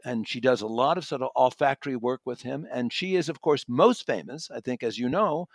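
A man's voice: medium pitch, brisk, vocal fry, no room sound. Male reviewer for book podcast discussion, middle register, close dry sound.

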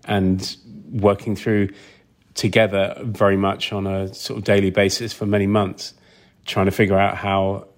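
A man's voice: fairly low pitch, flat delivery, measured pace. A man being interviewed about his work, talking casually and reflectively, thinking aloud and rephrasing as he goes. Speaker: film sound designer, interview guest.

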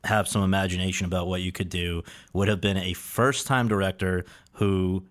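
A man's voice: low-pitched, fairly even intonation, moderate pace, fairly bright tone. A man interviewing a guest, reading back quotes and asking prepared questions in a friendly way. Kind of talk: conversational interview.

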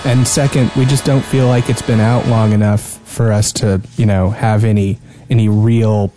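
A man's voice: fairly low pitch, flat delivery, normal speed, mellow, faint music behind. A man co-hosting a gaming podcast, chatting casually in a joking, teasing way. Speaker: podcast co-host.

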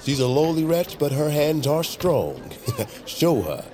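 An actor playing a sly master tailor and secret mentor, silky, deliberate and theatrical in audio drama style. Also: male voice, a little high, very animated, a crowd in the background. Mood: unctuous, teasing.

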